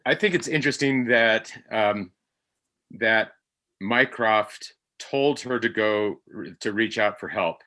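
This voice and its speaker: A man with a low, forceful voice joins a casual panel discussion. He hesitates and thinks aloud, building his point in short pieces. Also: a little slow. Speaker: actor as podcast panellist.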